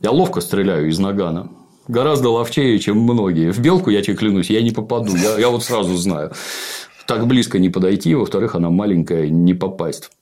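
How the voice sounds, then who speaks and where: A man's voice: on the low side, fairly quick, mellow. A middle-aged man talking bluntly and sarcastically as host in a casual chat. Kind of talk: casual podcast chat, critical review.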